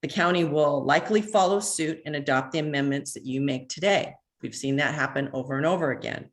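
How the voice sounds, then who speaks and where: A woman, president of a neighborhood association, reading an earnest public comment over a phone line, measured with a few halting restarts. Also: low-pitched. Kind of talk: prepared public comment read aloud. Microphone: phone call into online meeting.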